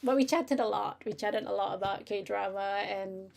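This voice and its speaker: A woman's voice: mid-range voice, normal speed, gravelly, a little mellow. A woman hosting a livestream in casual chat, often giggling as she talks. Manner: giggly, laughs often while talking. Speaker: livestream host.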